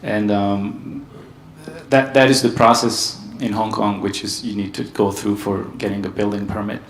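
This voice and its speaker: A man from Hungary giving a conference panel talk, steady and hesitant with many ums. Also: on the low side, moderate pace, echoey room, slight background noise. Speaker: panel speaker. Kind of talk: conference presentation.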